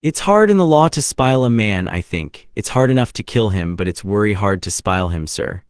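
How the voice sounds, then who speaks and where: A man's voice: lowish voice, expressive, brisk, dry recording, studio quality, lightly acted. Man acting a surly working-class odd-job man. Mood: grumbling. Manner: grumbling, uneducated Cockney turns of speech.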